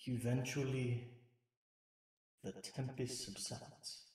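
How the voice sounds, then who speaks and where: A man playing an aged rat sensei, a grave and protective father, in a comic dub with gravelly, solemn speech. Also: medium pitch, little pitch movement, slow pace, a little mellow. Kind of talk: comic book dub acting. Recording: very echoey voice track.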